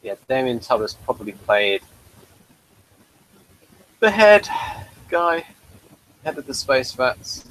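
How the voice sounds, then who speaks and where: Man, co-host, mid pitch, halting and filler-heavy casual chat. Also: big pitch swings, fairly bright tone, low-quality recording. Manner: hesitant, halting, many fillers. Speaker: podcast co-host.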